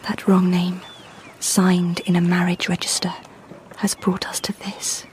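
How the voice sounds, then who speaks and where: An actress playing an anxious but strong-willed young British bride in a Victorian radio drama, intense and questioning. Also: female voice, on the low side, flat delivery, a little slow, darkish tone, slight background noise, natural voice. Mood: bitter. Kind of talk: period radio drama acting.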